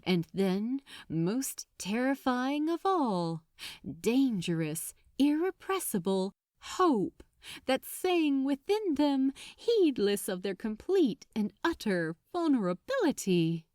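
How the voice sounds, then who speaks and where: Slow, expressive high-voiced woman narrator. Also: no echo, clean studio sound. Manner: deliberate, dramatic pauses between phrases. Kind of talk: dramatic scripted story narration.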